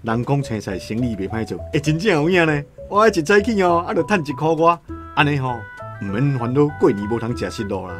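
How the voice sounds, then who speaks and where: A man's voice: a little high, very animated, a little fast, fairly bright tone, faint music behind. An actor playing a humble, honest, poor vegetable seller and father in a radio drama, colloquial and deferential, with a Taiwanese accent. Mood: hopeful.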